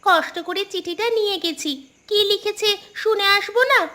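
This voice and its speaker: Cheeky village kid girl, cartoon, super high bright voice, speaks her mind. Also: unhurried, exaggerated voice. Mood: chatty. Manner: pert, outspoken. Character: cheeky, sensible young village granddaughter. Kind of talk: Bengali animated story dialogue.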